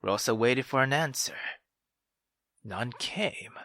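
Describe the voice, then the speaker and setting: A man reading a visual novel aloud as dramatic narration, breathy and dropping into whispers. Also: mid-range voice, animated, slow pace, pushed and bright, no echo, clean studio sound.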